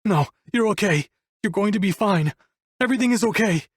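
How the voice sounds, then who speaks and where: Man acting a desperate, grief-stricken man, voice pitched high. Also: expressive, fairly quick, husky, dry recording, clean studio sound. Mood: frantic grief. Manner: screaming, pleading, breaking up with grief. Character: desperate grieving man, pleading with his unconscious lover.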